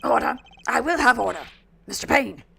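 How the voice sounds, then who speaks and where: A woman voicing a fussy, easily flustered older male judge, with a gravelly, pompous manner. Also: fairly high pitch, expressive, moderate pace, a bit bright, slight background noise, voice pushed up, full character voice. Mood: stern. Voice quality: gravelly creaky voice.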